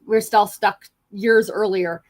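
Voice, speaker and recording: A woman from Colorado co-hosts a talk show, reminiscing in a quick, chatty way. Her voice sits at an ordinary middle pitch for a woman. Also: fairly even intonation. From Colorado, USA. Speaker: co-host. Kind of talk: casual radio show chat.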